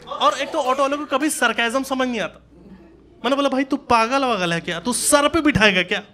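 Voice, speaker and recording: A man's voice: very high-pitched, a little fast, pushed and bright. A man, telling colloquial stand-up stories and acting out dialogue on a handheld mic. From Uttar Pradesh, India. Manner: conversational, colloquial, acts out dialogue in anecdotes. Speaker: stand-up comedian. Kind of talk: live observational stand-up storytelling. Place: small comedy club stage, indoors. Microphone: handheld stage microphone. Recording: live club recording with audience noise. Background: audience laughter and noise.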